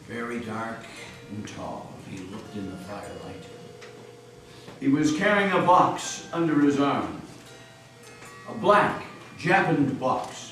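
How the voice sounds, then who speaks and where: A man's voice: mid-range voice, a bit bright. A man narrating a scripted tale as a dramatic reading in a meeting house. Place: large echoey meeting house indoors. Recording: echoey hall, distant mic, noisy.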